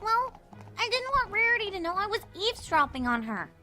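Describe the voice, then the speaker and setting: Woman as plucky little storybook filly, earnest and animated, bright piping voice over faint music. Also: very high voice, brisk, exaggerated voice. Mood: gossipy. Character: young cartoon fillies, plucky and matter-of-fact. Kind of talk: fan fiction audio reading, cartoon voice.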